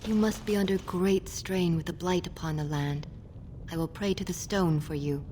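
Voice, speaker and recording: A woman's voice: on the low side, steady tone, moderate pace, dark tone, a little hiss or hum, natural voice. An actress playing a pious, kindly dwarf townswoman in a video game, speaking with formal, solemn sympathy. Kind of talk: video game character acting.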